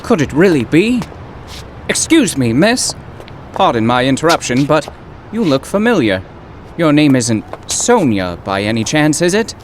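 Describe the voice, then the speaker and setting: An actor playing an earnest, awkward poetry professor, flustered and florid, in old-time radio drama style. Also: male voice, a little high, very animated, unhurried, a little hiss or hum. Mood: hopeful, curious.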